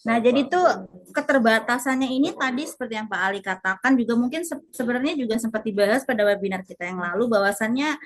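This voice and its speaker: A woman's voice: on the high side, fast. A woman moderating a webinar in a polite, semi-formal but conversational way, checking in with her listeners.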